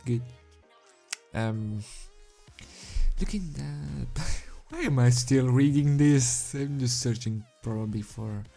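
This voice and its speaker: A man speaks English as a second language at a slow, halting pace. He sounds like an amateur reader chatting casually, self-deprecating and giggly. Faint music plays behind his homemade recording. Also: medium pitch, expressive, gravelly, dark tone. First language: not English. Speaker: amateur fan fiction reader. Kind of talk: story reading with casual commentary. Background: background music.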